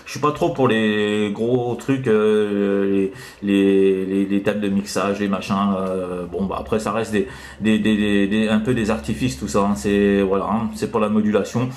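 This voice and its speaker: A man's voice: lowish voice, fairly even intonation, moderate pace, a little mellow. A man chatting casually as an amateur radio operator, hesitant and rambling, often tagging on "hein". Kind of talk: casual radio contact chat. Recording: roomy, echoing room.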